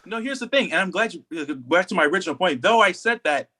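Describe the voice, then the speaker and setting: A man's voice: high-pitched, animated, fast talker, bright, forceful tone. A man co-hosting a podcast, talking casually and giving opinions with emphatic, repeated phrasing. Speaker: podcast co-host.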